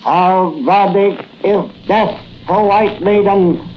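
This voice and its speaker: A menacing villain priest in an old-time radio drama, chanting his demands in slow, broken phrases. The voice sits high and drags along heavily. It comes through a dull, muffled old recording with a faint hiss. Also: steady tone. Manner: slow broken-English intoning. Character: sinister male priest villain, solemn and menacing. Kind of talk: 1930s radio drama acting.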